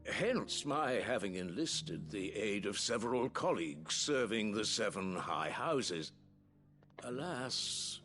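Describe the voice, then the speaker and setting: A man's voice: lowish voice, expressive, takes it slowly, a bit bright. An actor playing a formal, deferential male chief steward in a video game, speaking with courtly gravity. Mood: earnest. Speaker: game character. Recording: game audio with clear music under.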